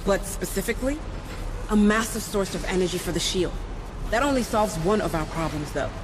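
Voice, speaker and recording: A gritty, plainspoken ship engineer, as an actor voices him, with a wry edge and a fairly high pitch for a man. Steady ambient game noise sits behind his lines. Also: fairly quick, natural voice. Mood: matter-of-fact. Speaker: chief engineer.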